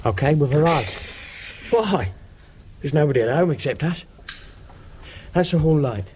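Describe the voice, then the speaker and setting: A man's voice: a little high, animated, unhurried, dark tone, slight background noise. A man acting in a radio drama as a cautious, matter-of-fact burglar for hire, giving terse, bossy instructions in colloquial speech. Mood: businesslike, calm.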